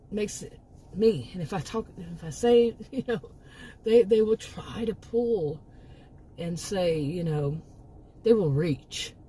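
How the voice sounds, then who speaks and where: Mellow-voiced woman ranting, voice going hoarse. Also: mid-range voice, slight background noise, rough recording. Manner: rambling, emphatic, with frequent restarts and asides. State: losing her voice. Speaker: commentary channel host. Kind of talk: casual opinion monologue.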